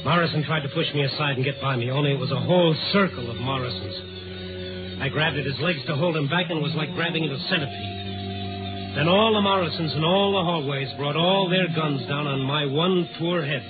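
An actor with an American accent playing a tough, wisecracking newspaper reporter and narrator in a 1950s radio drama, wry and hard-boiled. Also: male voice, on the high side, moderate pace, close to an everyday voice. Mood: hard-boiled narrating. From United States. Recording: tinny vintage radio recording, music behind.

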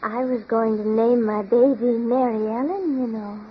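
A woman's voice: a little high, normal speed, lightly acted. A woman playing a childlike, wistful farm wife with a dreamy delivery in a 1930s radio drama. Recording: muffled, noisy 1930s radio recording.

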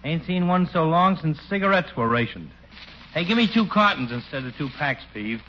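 A man's voice: a little high, very animated, normal speed. An actor playing a gossipy, folksy small-town working man who talks ungrammatically in a 1940s radio comedy. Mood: breezy. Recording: muffled, hissy 1940s radio recording.

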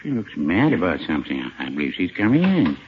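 An actor playing a mild, henpecked middle-aged American druggist with a dry, hesitant, folksy manner in a 1950s radio comedy. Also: male voice, low voice, big pitch swings, a little fast. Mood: meek, bemused. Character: mild, droll, henpecked small-town druggist, an older married man. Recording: dull, faintly noisy 1950s radio recording.

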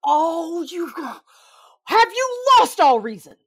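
A woman's voice: very high-pitched, very animated, slow pace, heavily acted. A woman playing an intense, battle-hardened Sith mother who narrates her own story theatrically in an audio drama. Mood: anguished anger. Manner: brooding, theatrical, emphatic outbursts. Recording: music bed with rain sounds behind.

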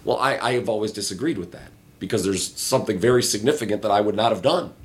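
A man co-hosting a late-night podcast in a hotel room, his voice hoarse and raspy, giving emphatic opinions in casual chat. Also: lowish voice, fast talker, a little hiss or hum. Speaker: podcast co-host. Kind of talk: casual chat about a wrestling show.

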